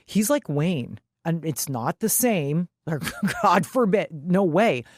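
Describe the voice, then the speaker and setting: A woman's voice: on the low side, wildly swooping pitch, slow pace, a little mellow, husky, no room sound, studio quality. A woman co-hosting a sports podcast, chatting casually in a blunt, emphatic way with frequent swearing.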